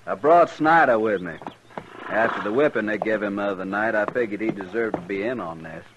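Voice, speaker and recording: A man's voice: on the low side, a little fast, natural voice. An American man playing a hardy, plain-spoken cattle trail boss in a 1950s western radio drama. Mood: grim. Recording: muffled old radio recording, clear noise.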